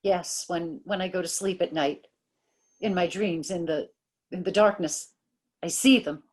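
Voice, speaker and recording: A frightened, haunted young woman in an old-time radio horror play. Her voice is fairly low and husky, and she speaks hesitantly. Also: measured pace. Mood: haunted, fearful.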